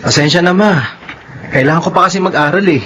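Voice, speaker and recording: A dutiful, higher-voiced young son. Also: male voice, fast, a bit bright. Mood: reassuring. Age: young adult. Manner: polite, reassuring. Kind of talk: Filipino radio drama acting.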